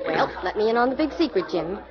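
An actress playing a spirited, curious young female sidekick with animated talk, in a 1940s radio drama. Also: mid-range voice, fast, crowd noise, lightly acted. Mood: playful. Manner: animated, chatty. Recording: dull old radio broadcast with music.

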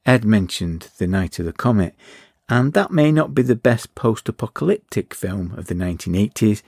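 A middle-aged man reading a wry, relaxed podcast outro as host. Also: on the low side, dry recording, studio quality.

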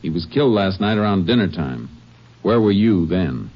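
An actor playing a calm, methodical Texas Ranger in a 1950s western radio drama, American, asking measured, matter-of-fact questions. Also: male voice, deep voice. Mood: probing, calm. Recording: muffled old radio recording with noise.

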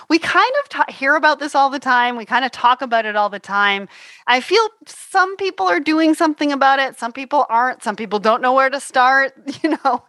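A woman's voice: fairly high pitch, sharp tone, dry recording. A woman hosting and moderating a panel discussion in an upbeat, enthusiastic way.

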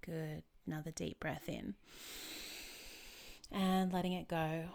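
A woman leading a guided tapping session as a therapist, giving gentle, measured step-by-step instructions with soothing pauses. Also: deep voice, level tone, creaky.

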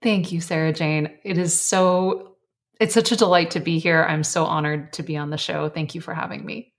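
A woman author and life coach, earnest and reflective as a guest, her voice slightly hoarse. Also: deep voice, steady tone, moderate pace. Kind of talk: casual interview chat.

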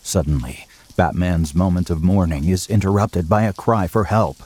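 A man narrating an audio drama from a script in a measured, serious, literary manner. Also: low-pitched, darkish tone.

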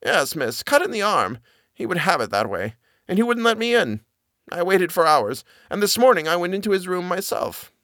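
A man's voice: high voice, big pitch swings, moderate pace, fairly bright tone, dry recording, studio quality, voice pushed up. A man voicing a frightened middle-aged housekeeper who stammers in plain servant speech. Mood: fearful.

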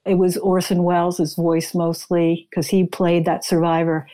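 A woman's voice: lowish voice, flat delivery, measured pace, dark tone. A woman speaking as an interviewed author, explaining and retelling in a conversational style.

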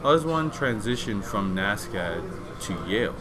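A man's voice: lowish voice, expressive, moderate pace, crowd noise. A man hosting an interview, asking rambling, curious questions that he restarts often. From immigrant. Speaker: podcast host, painter. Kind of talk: casual interview.

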